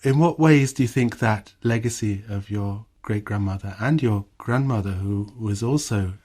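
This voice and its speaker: A male radio host interviewing a guest in a studio, asking gentle, measured questions. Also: on the low side.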